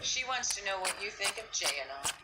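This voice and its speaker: A woman's voice: medium pitch, lively intonation, a little fast, very noisy. A woman hosting a casual livestream chat, blunt and dry, with some cursing. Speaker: host.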